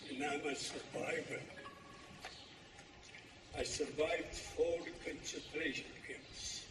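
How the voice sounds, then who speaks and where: Older man, keynote speaker, halting plain delivery, distant mic in a roomy hall. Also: fairly high pitch. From Poland. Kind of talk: personal testimony speech. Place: large hall, live event. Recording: echoey hall from far off with noise.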